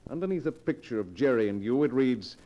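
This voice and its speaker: An actor playing a wealthy betrayed husband in an old radio drama, speaking in formal, pointed phrases. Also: male voice, mid-range voice, animated, fairly quick, warm tone, close to an everyday voice. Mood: cold, accusing. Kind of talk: golden age radio drama acting. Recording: muffled old radio show recording.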